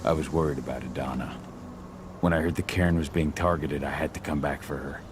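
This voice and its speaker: Deep, brooding, gruff warrior. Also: male voice, steady tone, a little fast, noisy background. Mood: brooding, guarded. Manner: terse, intense. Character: brooding exiled warrior father, stubborn, fiercely protective man. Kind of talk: dramatic video game cutscene acting. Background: music.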